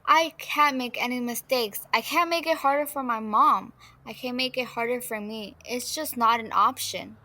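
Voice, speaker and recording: A woman's voice: on the high side, normal speed, hardly any pauses, sharp tone. A young woman playing a cautious, anxious seventeen-year-old girl in a student audio drama, hesitant and defensive. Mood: anxious, self-doubting.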